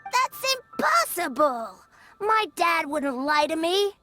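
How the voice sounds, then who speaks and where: Stubborn little village child; very high, bright, animated. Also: female voice, slow pace, over loud music, heavily acted. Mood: insistent. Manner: insistent, childlike.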